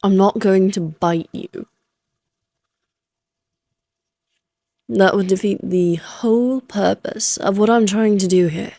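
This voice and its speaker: Woman doing an immortal vampire lady for an audio roleplay, super slow n teasing, old-timey fancy talk, close dry sound. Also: medium pitch, steady tone, vocal fry, warm tone, no room sound. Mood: playful, coaxing. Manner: teasing, theatrical, archaic genteel phrasing. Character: taunting immortal female vampire, playful, elegant, flirtatious. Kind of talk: Victorian fantasy audio roleplay.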